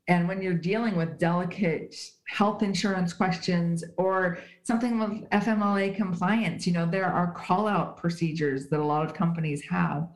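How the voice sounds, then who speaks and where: A woman's voice: fairly low pitch, reverberant, low-quality recording. A woman, an HR writer, speaking as an interview guest, talking emphatically and explaining with examples. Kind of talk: conversational interview. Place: at home in Switzerland.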